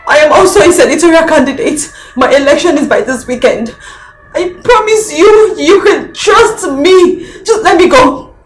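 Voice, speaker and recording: A woman's voice: high voice, normal speed, distant mic, natural voice. An actress with a Nigerian accent playing a terrified, wealthy captive woman who begs and stammers in a film drama. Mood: frantic pleading. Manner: begging, stammering, repetitive. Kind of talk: melodramatic film acting. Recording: echoey, off-mic, music underneath.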